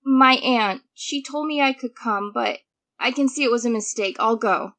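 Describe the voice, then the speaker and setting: A woman's voice: fairly high pitch, normal speed, bright, forceful tone. A woman playing an anxious, defensive twelve-year-old girl in an audio drama, earnest and hesitant. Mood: hesitant, downcast.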